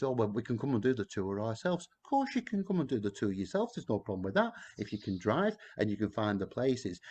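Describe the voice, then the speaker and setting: A man's voice: fairly low pitch, a little mellow. A middle-aged man with a Lancashire accent, chatting casually and joking with viewers on a live stream from his back garden. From Blackburn, Lancashire, England. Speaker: tour guide hosting a live chat.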